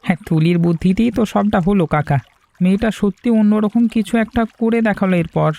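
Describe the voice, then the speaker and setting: A man voicing a friendly, hearty village fisherman and father in an animated cartoon. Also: sits high, fairly quick, vocal fry.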